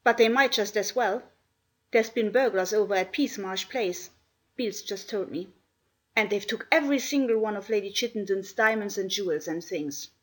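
A woman's voice: mid-range voice, moderate pace, lightly acted, poor audio. A woman voicing a gossipy housemaid who tells news darkly, in broad country speech. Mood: dark gossip. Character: gossipy, dramatic female housemaid.

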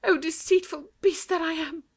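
A woman's voice: extremely high pitch, steady tone, moderate pace. A woman playing an anxious, motherly young girl in a storybook, earnest and fretful as a protective sister. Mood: pleading. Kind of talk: storybook dialogue acting.

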